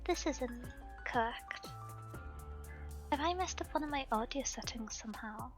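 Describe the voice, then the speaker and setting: Woman streamer, soft-spoken, slightly hoarse; high, bright tone, faint background music. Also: expressive, unhurried. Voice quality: softly spoken. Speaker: game streamer. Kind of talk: casual live-stream chat while gaming. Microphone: desk microphone at home.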